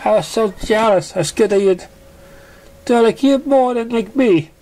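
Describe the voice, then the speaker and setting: A storyteller voicing an excitable, silly, friendly young female pink pony with a high, squeaky, gushing voice for a fan story. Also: moderate pace, a little hiss or hum, close to an everyday voice, rough recording. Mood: sheepish confession. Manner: gushing, emphatic, exclamatory.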